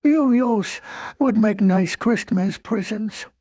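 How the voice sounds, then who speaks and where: A woman voicing a gentle, naive teddy bear who muses slowly in a children's storybook. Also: mid-range voice, no room sound, studio quality. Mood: musing. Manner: slow, musing, naive. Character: gentle, simple, kindly male teddy bear. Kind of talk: children's storybook character acting.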